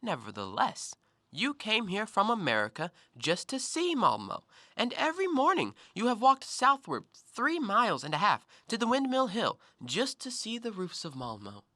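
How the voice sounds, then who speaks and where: An actor playing a blunt, self-assured, observant intellectual in an audio play, speaking in an emphatic, accusing way. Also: male voice, high voice, wildly swooping pitch, normal speed, sharp tone, dry recording, studio quality, heavily acted. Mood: probing, triumphant. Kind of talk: stage-play dialogue in an audio drama.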